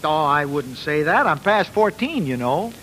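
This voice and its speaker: A man's voice: fairly high pitch, sing-song, a little slow, fairly bright tone, slight background noise, rough recording. An actor playing an earnest small-town youth who tells his life story in a folksy, wry 1940s radio style. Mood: modest bragging. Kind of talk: scripted narration, 1940s radio acting. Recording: vintage radio recording with music.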